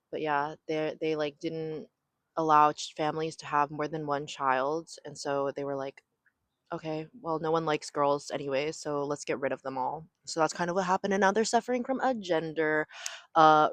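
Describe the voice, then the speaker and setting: Young woman from socal, low voice, rambling solo podcast chat w lots of likes and ums, dry close sound. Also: no room sound. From raised in Southern California. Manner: rambling, filler-heavy, frequent "like" and "um". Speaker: podcast host. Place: home near an airport.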